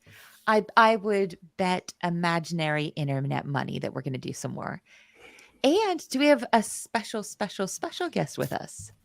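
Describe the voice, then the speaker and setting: Bubbly woman with a lively voice. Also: on the low side, moderate pace, creaky, no echo, studio quality. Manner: bubbly, playful, jokey. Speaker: co-host. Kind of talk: casual livestream chat.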